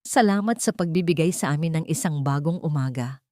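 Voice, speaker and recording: Woman voicing a gentle, lowish-voiced nanny. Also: fairly quick, no room sound, clean studio sound, close to an everyday voice. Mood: grateful. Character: Rosa, gentle, respectful young nanny, grateful and hesitant. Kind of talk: Tagalog audio drama dialogue.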